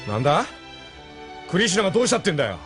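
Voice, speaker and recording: An actor playing a cocky, hot-tempered young pilot thug with coarse, slangy speech in an audio drama. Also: male voice, high voice, big pitch swings, rapid-fire. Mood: angry. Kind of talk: Japanese audio drama acting. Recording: music behind the voice.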